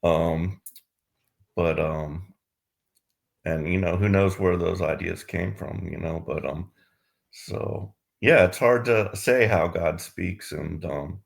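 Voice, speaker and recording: Deep, gravelly man musing hesitantly. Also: takes it slowly. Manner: hesitant, searching for words. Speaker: questioner in a Q&A. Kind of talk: casual conversation.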